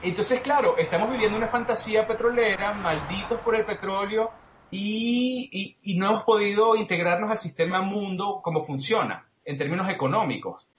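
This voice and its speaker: A man's voice: high-pitched, normal speed, fairly bright tone, reverberant, a little hiss or hum. A man with a Venezuelan Spanish accent giving analytical, explanatory answers as an interview guest, reasoning step by step. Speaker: interview guest, political commentator.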